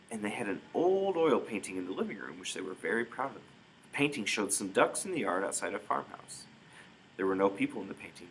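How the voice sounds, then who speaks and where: A man reading a story aloud in expressive narration, with dramatic emphasis. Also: lowish voice, a little hiss or hum, rough recording. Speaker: storybook reader.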